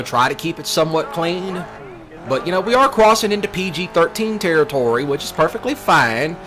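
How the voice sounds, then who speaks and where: A man's voice: on the high side, a bit bright. A young man with a Southern US accent rants and rambles casually over a let's play, in a colloquial, jokey, self-deprecating way. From Mississippi, US. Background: game music and vehicle sounds.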